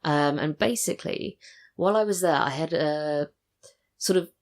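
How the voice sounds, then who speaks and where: A woman's voice: low voice, normal speed. A woman in her twenties chatting casually as a podcast guest who writes audio drama. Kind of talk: casual interview chat.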